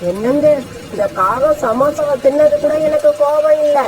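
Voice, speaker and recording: A woman's voice: high voice, normal speed, a little mellow, slight background noise, natural voice, rough recording. A woman voicing an indignant, threatening eagle in a cartoon story. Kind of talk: dubbed cartoon dialogue.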